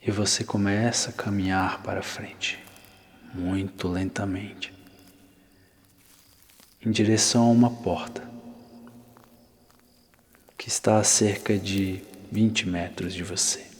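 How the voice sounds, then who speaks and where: Slow, deep Brazilian man in long reverb. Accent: Brazilian Portuguese. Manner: calm, instructing, with long pauses. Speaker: guided meditation narrator. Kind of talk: ASMR guided visualization. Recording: long reverberant hall-like echo.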